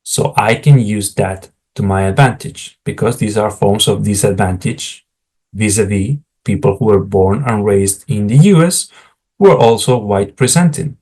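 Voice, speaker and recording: A man's voice: on the low side, normal speed, a little mellow, poor audio. Spanish-accented man giving frank career advice. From Spain. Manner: frank, lecturing, asides to viewers. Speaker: academic career advisor. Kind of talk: explanatory talk to camera.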